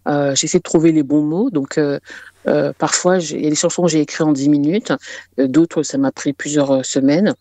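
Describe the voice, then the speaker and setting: A woman's voice: low-pitched, fairly even intonation, normal speed, dark tone, no room sound. A woman singer-songwriter talking casually as an interview guest, with hesitant, conversational phrasing.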